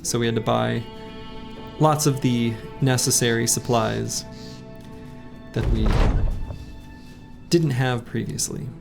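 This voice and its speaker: A man live-streaming a game, his voice slightly hoarse with a gravelly, creaky edge. He rambles in a loose, chatty way and greets viewers by name. Faint game music plays underneath. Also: medium pitch, steady tone, slow, long pauses. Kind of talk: casual live-stream chat while playing.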